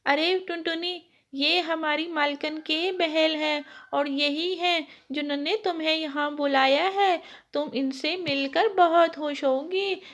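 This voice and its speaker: A woman's voice: high-pitched, fairly even intonation, measured pace, few pauses, fairly bright tone. A woman voicing a kind, helpful fairy in a children's cartoon story, explaining things in a reassuring way. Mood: kindly.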